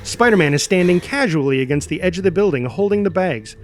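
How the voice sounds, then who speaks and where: A man's voice: high voice, lively intonation, fast, faint music behind. A man reading scripted action narration as the narrator.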